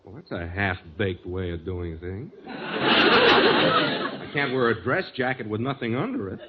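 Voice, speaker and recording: A thin, phone-like vintage radio sound with clear noise carries this man. He is an easygoing, dim bachelor musician sidekick in a 1940s sitcom. He speaks slangily with deadpan mock dignity and very lively pitch. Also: measured pace. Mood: grumbling. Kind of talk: 1940s radio sitcom acting before an audience. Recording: tinny, noisy 1940s radio recording.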